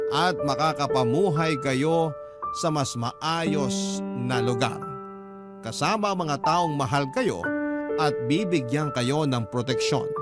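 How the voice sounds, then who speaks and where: A man with a Filipino accent hosting a radio drama, reading listeners' letters aloud as dramatic narration. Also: a little high.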